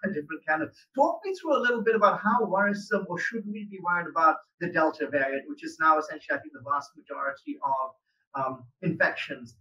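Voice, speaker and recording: A man's voice: a little high, quick pace, sharp tone, recorded from a distance, dull audio, slight background noise. A man hosting an interview as a newspaper editor, talking conversationally with many hedges and fillers.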